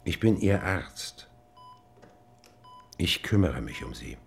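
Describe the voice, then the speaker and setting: Grave doctor, low gravelly voice, really slow and deliberate, radio play. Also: male voice, sing-song, slight background noise, heavily acted. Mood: grave, careful. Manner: deliberate, pausing between phrases. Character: grave male doctor gently breaking terrible news. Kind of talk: radio drama acting.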